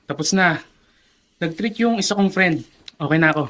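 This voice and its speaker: A man's voice: high-pitched, normal speed, bright, forceful tone. A man with a Filipino accent playing a brash, resentful young adult son in a radio drama. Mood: breezy.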